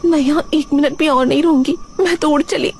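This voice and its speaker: A woman's voice: high voice, steady tone, fairly quick, darkish tone, slight background noise, voice pushed up. A woman voicing a spoiled, fashion-loving young daughter-in-law in a cartoon, whining and complaining theatrically. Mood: defiant.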